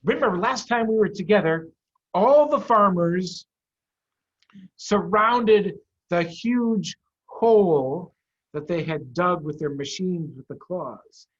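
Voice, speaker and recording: A man, a head of school, chatting to children and reading them a story in an animated way over a video call. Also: high voice, takes it slowly. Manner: animated, addressing children with simple questions. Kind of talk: children's storytime read-aloud. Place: office. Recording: dull, lo-fi video-call audio.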